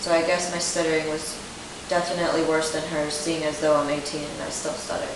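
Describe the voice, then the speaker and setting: A woman's voice: deep voice, a little fast, lightly acted. A young woman playing a self-conscious eighteen-year-old client. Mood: earnest. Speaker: client in a role-played speech therapy interview. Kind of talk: scripted role-play. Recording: echoey room, distant mic, steady hiss.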